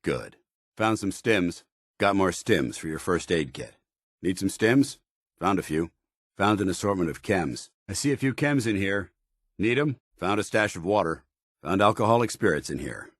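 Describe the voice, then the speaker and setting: Video game soldier: stern, disciplined, formal, low-pitched and husky, with varied intonation. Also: male voice, expressive, moderate pace, no room sound, clean studio sound. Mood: businesslike. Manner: formal, military, clipped. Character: stern, disciplined soldier in power armor, middle-aged man. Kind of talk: scripted video game dialogue.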